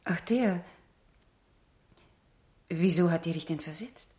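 Rally driver's affectionate female partner, 1970s radio drama, lowish register, casual. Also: takes it slowly, darkish tone. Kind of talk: 1970s German radio crime drama acting.

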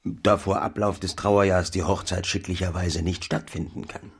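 A very low, husky voice for an aging farmer in a radio play. He is a practical father-in-law who talks in a measured, businesslike way. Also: flat delivery. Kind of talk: German literary radio drama acting.

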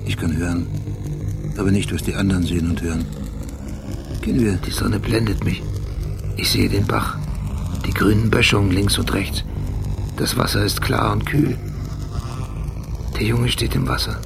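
An actor playing an obsessive, brooding middle-aged police detective with a gravelly voice, telling his memories deliberately in a radio play. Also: lowish voice, animated, background noise. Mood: haunted, brooding. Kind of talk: radio play acting, intimate monologue.

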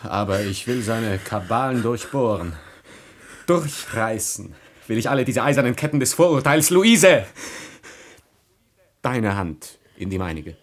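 An actor playing a passionate, defiant young nobleman in love in a classical tragedy radio play, declaiming in a husky voice. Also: mid-range voice, animated, a little slow, pauses often, a little hiss or hum, lightly acted. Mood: defiant, ardent. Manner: declamatory, impassioned.

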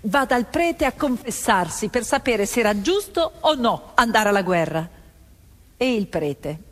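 An actress playing a peasant woman recounting family memories, with deliberate, expressive theatrical phrasing in an echoing space. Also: a little high. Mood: reflective. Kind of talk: theatrical storytelling over music. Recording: echoey hall with long reverb. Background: violin music.

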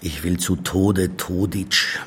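An actor playing a gruff, cynical, hard-drinking Austrian police detective in a radio crime drama, sardonic and coarse, muttering asides. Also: male voice, deep voice, steady tone, slow, fairly bright tone, a little hiss or hum. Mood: curt, insistent. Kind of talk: German-language radio play dialogue and inner monologue.

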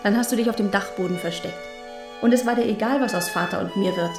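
An actress playing a frank, unsentimental young woman recalling her post-war girlhood in a German radio play, with a slightly husky voice. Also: mid-range voice, quick pace, music in the background, natural voice. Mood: frank, defiant.

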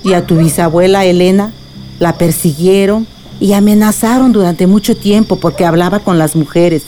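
An actress as a wise old Mexican grandmother in a radio play. Her voice is dark and mellow, tender and consoling like a storyteller, over soft music. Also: on the low side, normal speed, natural voice. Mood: solemn, reminiscing. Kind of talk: radio drama acting.

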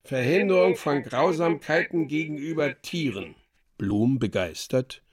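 A man narrating a radio drama as a measured storyteller, reading vivid literary stage directions deliberately. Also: on the high side, expressive.

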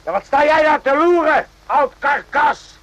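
An actor in a radio play voicing a gruff, irritable army sergeant with a husky voice and a curt, sneering way of talking. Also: male voice, very high voice, expressive, measured pace, a bit bright, dry recording, exaggerated voice, poor audio. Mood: irritated, urgent.

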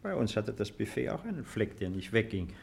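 An actor playing an uneasy, nostalgic displaced farmer in a 1950s German radio play, musing and hesitant. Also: male voice, fairly low pitch, very animated, a little fast, vocal fry, a little mellow, breathy edge, full character voice. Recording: faintly dated 1950s radio recording.

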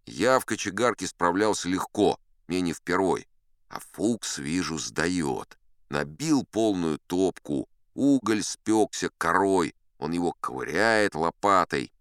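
An actor playing a seasoned, unflappable sea captain who spins tall tales in a chatty, wry, theatrical audiobook manner. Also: male voice, sits low, very animated, slow pace, fairly bright tone, clean tone, no echo, studio quality, full character voice. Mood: wry, unhurried. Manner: conversational, wry, leisurely asides to the listener. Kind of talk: theatrical audiobook storytelling in first person.

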